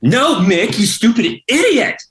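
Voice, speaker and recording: A man's voice: very high voice, very animated, measured pace, a bit bright, heavily acted. A man acting an argumentative, indignant progressive son at a family dinner, incredulous and combative, in a comedy table read.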